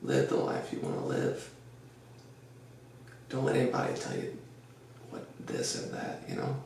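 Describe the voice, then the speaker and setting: A man hosting a casual podcast, with a deep, gravelly voice, rambling through an earnest pep talk full of fillers. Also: wildly swooping pitch, measured pace, darkish tone, far from the mic. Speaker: podcast host. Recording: echoey room, off-mic, faint noise.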